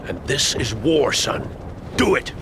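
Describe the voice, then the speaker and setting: An actor playing a stern, resolute army colonel in a military video game, speaking in clipped, authoritative orders. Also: male voice, mid-range voice, big pitch swings, takes it slowly, noisy background. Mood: grave, resolute. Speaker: military commander.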